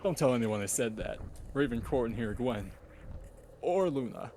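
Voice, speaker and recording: A man's voice: mid-range voice, lively intonation, moderate pace, mellow, slight background noise, lightly acted. A man voicing a tough, grudging teenage bad boy in a cartoon confessional. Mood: grudging respect. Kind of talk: cartoon confessional in a fan story read-along.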